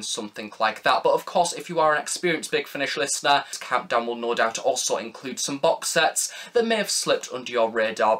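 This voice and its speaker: A man's voice: medium pitch, pushed and bright. A man hosting a review video, presenting a scripted countdown with wordy, formal phrasing. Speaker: review channel host.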